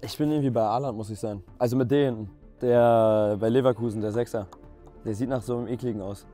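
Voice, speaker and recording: A man's voice: medium pitch, steady tone, quick pace, dark tone, faint music behind. A man speaking as a panelist, musing and unsure as he weighs his guesses. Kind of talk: casual panel chat.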